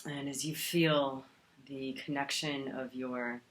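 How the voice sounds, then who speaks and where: Slow, low-voiced woman guiding meditation. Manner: calm, soothing, with long pauses. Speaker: meditation teacher. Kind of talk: guided meditation.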